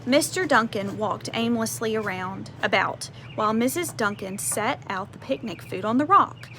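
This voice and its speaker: A woman reading a picture book aloud to children outdoors, expressive, with conversational explanatory asides. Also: a little high, moderate pace. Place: outdoors on a porch. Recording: faint outdoor ambient noise.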